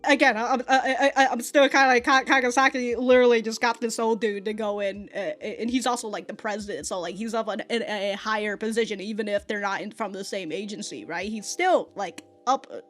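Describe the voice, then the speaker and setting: A woman's voice: mid-range voice, steady tone, a little fast, hardly any pauses, fairly bright tone, soft background music. A woman hosting an anime reaction, chatting casually, laughing often and stumbling over repeated words.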